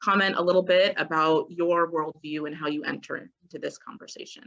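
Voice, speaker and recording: A woman reverend moderating a video panel, gentle and conversational as she hands the turn to the next speaker. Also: low voice, steady tone, a little fast, creaky, muffled recording. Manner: gentle, conversational, handing over turns. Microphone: video call.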